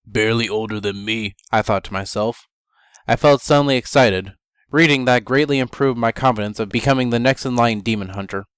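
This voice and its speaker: A man's voice: mid-range voice, fairly bright tone, clear, resonant tone, dry recording. Man narrating a story, dry close sound. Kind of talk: scripted first-person story narration, dramatic reading.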